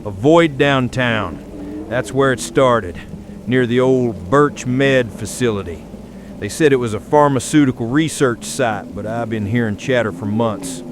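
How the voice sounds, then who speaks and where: A man playing a weary, middle-aged county sheriff, giving a grave, folksy emergency broadcast in a horror audio drama. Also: lightly acted. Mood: resigned, grave. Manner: folksy, slow, resigned, grave. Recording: noisy survivor radio transmission, vehicle-like rumble.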